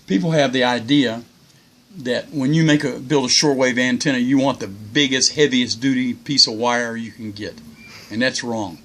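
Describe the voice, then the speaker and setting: A man's voice: mid-range voice, fairly even intonation, normal speed, fairly bright tone. An older man giving casual, unscripted hobby tips in a folksy, plain-spoken, rambling way. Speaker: hobbyist video host.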